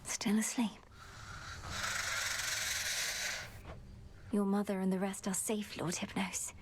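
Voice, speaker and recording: A woman playing an earnest young underworld princess in a video game, speaking formally and with measured courtesy. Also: mid-range voice, flat delivery, a little mellow, a little hiss or hum, natural voice. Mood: gentle, sincere. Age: young adult.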